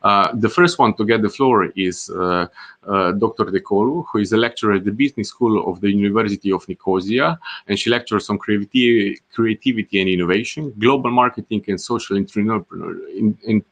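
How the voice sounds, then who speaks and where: Low-voiced man hosting a webinar, hesitant. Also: brisk, fairly bright tone. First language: not Greek. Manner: hesitant, many "uh" fillers and restarts. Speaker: webinar moderator. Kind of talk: presenting an online course webinar.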